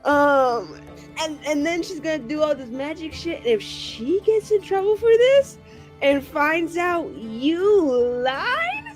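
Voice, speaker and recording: A woman in expressive, slangy casual chat about a tabletop game, acting out bits of dialogue as she retells them. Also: high voice, slow. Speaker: tabletop roleplaying game player. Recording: music playing underneath.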